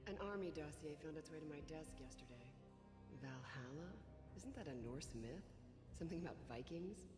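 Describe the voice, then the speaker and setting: An actress playing a gentle, affectionate young wife, musing lightly over her diary, heard through a reverb effect. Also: low-pitched, expressive, normal speed, music in the background. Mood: musing, fond. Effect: long reverb, as a remembered voice. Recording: echoey long reverb.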